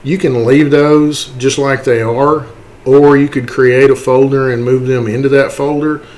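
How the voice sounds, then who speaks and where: A man giving a casual, step-by-step software walkthrough, informally checking in with okay and right as he goes. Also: mid-range voice, flat delivery, unhurried. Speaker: tutorial presenter. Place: indoors, voice-over for a screen recording.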